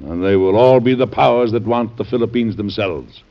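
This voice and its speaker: An actor playing a grave, conscientious middle-aged American president in classic radio drama style, speaking in a deliberate, formal, earnest manner. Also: male voice, fairly low pitch, lightly acted. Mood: firm. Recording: dull band-limited old radio recording.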